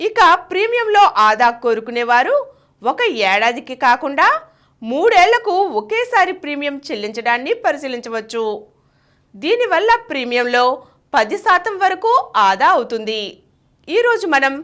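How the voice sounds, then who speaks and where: High-pitched, lively woman narrator. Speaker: presenter reading health advice. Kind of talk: scripted informational narration.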